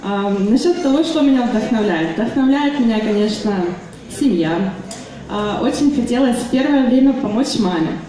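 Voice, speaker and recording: Woman in her twenties, mellow raised voice, hesitant speech full of fillers, taped from afar in an echoey hall. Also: fairly high pitch, lively intonation, normal speed, far from the mic. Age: 20s. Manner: hesitant, many fillers and restarts. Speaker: business meeting speaker. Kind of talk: public speech at a meeting. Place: meeting hall.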